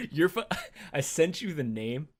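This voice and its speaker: A man's voice: a little high, wildly swooping pitch, slow. A man co-hosting a gaming podcast, chatting casually and breaking into laughter often. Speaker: podcast co-host.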